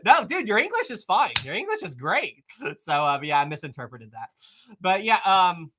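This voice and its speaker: A man hosting a casual live stream, answering viewer messages in an animated, rambling way with frequent fillers, restarts and laughs. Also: high voice, moderate pace, background noise. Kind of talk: casual live-stream chat reading super chats.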